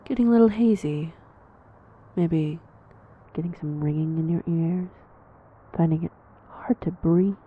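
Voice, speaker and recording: A woman playing a snide, predatory vampire in an audio roleplay, mocking and teasing, with a breathy voice that slips into whispers. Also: fairly low pitch, unhurried, pauses often, narrow, tinny audio. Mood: cruel, teasing. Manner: mocking, teasing, theatrically menacing. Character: snide, predatory female vampire toying with prey. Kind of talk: audio roleplay acting addressed to the listener.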